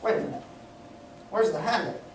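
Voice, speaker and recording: A performer playing an exasperated straight man and announcer in old-time radio style, with deliberate theatrical delivery. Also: mid-range voice, close to an everyday voice. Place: community theatre stage. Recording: echoey stage from far off, faint noise.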